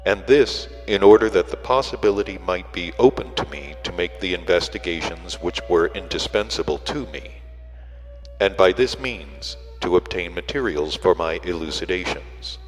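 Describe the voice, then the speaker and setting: A man reading a literary audiobook in a deliberate way, with frequent pauses between phrases. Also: low voice. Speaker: audiobook reader. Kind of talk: scripted literary narration. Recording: reverberant hall sound with music.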